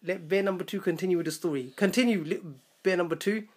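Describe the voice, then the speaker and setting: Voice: medium pitch, quick pace. Someone playfully chatting with children as host, coaxing them along with short repeated urgings. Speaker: parent-like host prompting children's story. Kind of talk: playful casual chat with children. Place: small bedroom, indoors.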